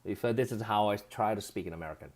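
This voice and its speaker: A man's voice: on the low side, fast, a little mellow. A man with a Japanese accent hosting a casual live stream, reading viewer comments aloud and laughing often as he chats.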